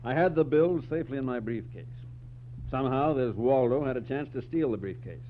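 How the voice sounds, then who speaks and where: A man playing a guarded, well-off philanderer in 1940s radio drama, measured and businesslike. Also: medium pitch, a little hiss or hum, natural voice. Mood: resigned, businesslike. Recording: muffled, humming 1940s radio recording.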